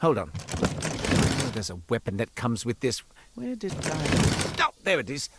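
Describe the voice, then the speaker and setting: Flamboyant, animated curio merchant. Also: male voice, mid-range voice, measured pace, loud music behind the voice. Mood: distracted, helpful. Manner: theatrical, flamboyant, wry and digressive. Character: chatty, self-important male curio merchant, wry and cowardly. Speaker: video game character voice actor. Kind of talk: theatrical character monologue, storytelling.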